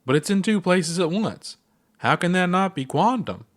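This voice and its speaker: An earnest, fretful young astronaut from a storybook reading, voiced by a man. The voice sits noticeably higher than the narration and pushes forward with eager, anxious questions. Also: lively intonation, moderate pace. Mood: urgent.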